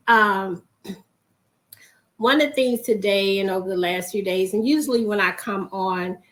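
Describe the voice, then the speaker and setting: A woman hosting a faith broadcast, hoarse and losing her voice, often clearing her throat as she gives a reflective, encouraging talk. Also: medium pitch, fairly bright tone.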